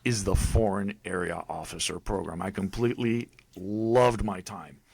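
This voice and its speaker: A man's voice: deep voice, moderate pace, creaky, slightly rough, dry recording. A middle-aged man from Puerto Rico with a Puerto Rican accent, a native Spanish speaker telling stories emphatically as a podcast guest. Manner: animated, emphatic storytelling. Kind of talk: casual storytelling interview.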